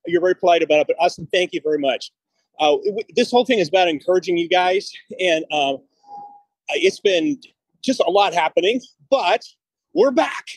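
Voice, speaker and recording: A man's voice: high voice, animated, normal speed, husky, no echo, poor audio. A man in his fifties chatting casually as a podcast host, talkative and easygoing in style, speaking into a phone on a video call. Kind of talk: casual chat. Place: walking outside and into a studio building. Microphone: phone held at arm's length on a video call.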